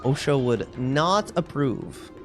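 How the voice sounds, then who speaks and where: A man's voice: mid-range voice, very animated, slow pace, faint music behind. A man livestreaming a video game, chatting casually with viewers and commenting on play in a wry, ranting way.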